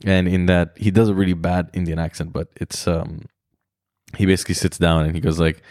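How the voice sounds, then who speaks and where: A man's voice: low voice, level tone, brisk, darkish tone, no room sound, studio quality. A man hosting his own podcast, talking alone in his room in a rambling, reflective way and swearing freely. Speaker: podcast host. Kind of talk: casual solo podcast monologue.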